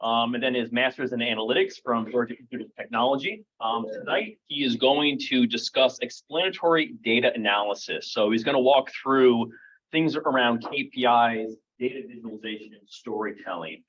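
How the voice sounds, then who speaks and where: A man's voice: mid-range voice, a little fast, fairly bright tone. A man filling in as emcee, casually introducing the evening's speaker with many fillers. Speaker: stand-in emcee. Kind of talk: event introduction. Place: meeting room. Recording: dull lo-fi room recording.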